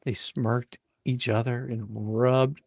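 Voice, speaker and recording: Creaky-voiced man reading a story theatrically. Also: medium pitch, level tone, slow, a bit bright, dry recording, studio quality. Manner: hammy, ad-libs folksy drawling turns of phrase. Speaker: storyteller reading a book aloud to a live audience. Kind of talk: dramatic comedic reading of a children's adventure story.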